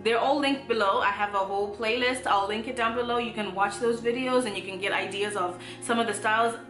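A woman's voice: on the high side, steady tone, brisk, roomy sound, music in the background. A young woman, giving a casual, upbeat hair tutorial in her bedroom, with playful asides. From Zimbabwe. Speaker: natural hair vlogger. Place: bedroom, indoors.

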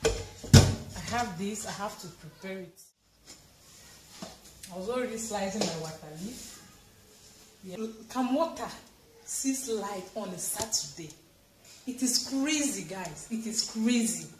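A woman's voice: mid-range voice, lively intonation, slow pace, pauses often. A woman in her thirties with a Cameroonian accent, chatting casually and expressively to camera as a home vlogger. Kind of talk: casual chat to camera while doing housework. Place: tiled home kitchen, indoors. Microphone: camera or phone at arm's length and further. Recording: echoey tiled room with clear noise.

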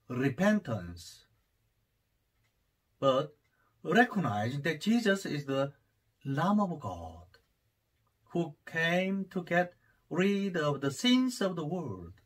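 Korean priest preaching from his study, slow and a bit husky, lots of uhs. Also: fairly high pitch. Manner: unhurried, many hesitations, rising fervor. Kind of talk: homily to camera. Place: study with bookshelves, indoors. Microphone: camera at desk distance.

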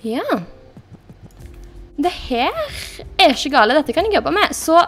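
A woman's voice: high-pitched, sing-song, soft background music. A young woman giving casual, chatty commentary as she plays, thinking aloud as she picks options, recorded at a desk in her bedroom. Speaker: gaming YouTuber.

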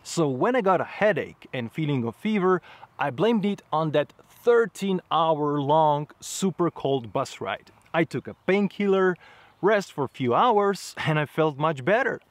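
A man in his thirties talking straight to camera outdoors in front of trees, explaining his experience in an earnest, measured way with slightly unusual phrasing. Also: fairly high pitch, lively intonation, no echo. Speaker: travel vlogger.

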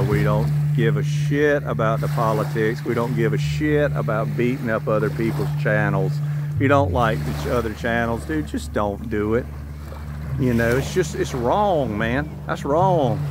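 Blunt Southern man vlogging outdoors. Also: mid-range voice. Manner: blunt, profane, rambling with many "you know"s. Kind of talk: casual vlog monologue. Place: outdoors on a tropical beach. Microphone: phone camera at arm's length. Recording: outdoor phone audio with clear ambient noise.

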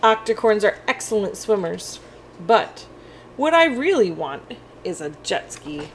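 A quirky little octopus-unicorn, read aloud by a woman with very swoopy, animated pitch. The storybook creature is friendly and a touch lonely, and there is clear room noise behind. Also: unhurried, low-quality recording. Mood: cheerful, boastful. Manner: animated, childlike storybook delivery. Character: friendly, quirky, slightly lonely young octopus-unicorn picture-book character.

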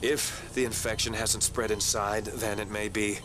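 An actor playing a fearful male survivor in a video game, speaking in a halting, haunted manner. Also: fairly low pitch, little pitch movement, background noise, close to an everyday voice. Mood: grave, uneasy. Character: shaken, fearful male survivor confessing and explaining. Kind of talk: dramatic video game monologue. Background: loud music.

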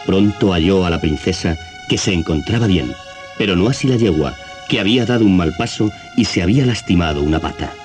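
A man's voice: deep voice, lively intonation, fairly quick, a little mellow. A man with a Castilian Spanish accent narrating a scripted children's story on an old cassette. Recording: 1980s cassette, music behind.

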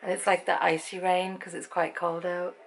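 A woman in her fifties from Britain with an English accent, chatting to a handheld camera in a dim room at night. Her voice is croaky, and she rambles and laughs often. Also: fairly low pitch, level tone, moderate pace, slight background noise, poor audio. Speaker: vlogger. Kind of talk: casual chat to camera. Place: bedroom at night, dim lamp light. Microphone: handheld camera at arm's length.

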